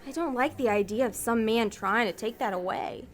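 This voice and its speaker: Scheming devilish woman, acted by a woman, slightly high voice, bossy, brisk, persuasive, comedic audio drama. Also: natural voice. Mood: manipulative. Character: scheming, bossy female agent of Hell.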